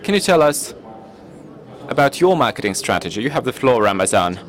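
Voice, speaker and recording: A man's voice: mid-range voice, sing-song, brisk. A man moderating a conference panel, introducing speakers in a polite, formal way. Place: conference hall.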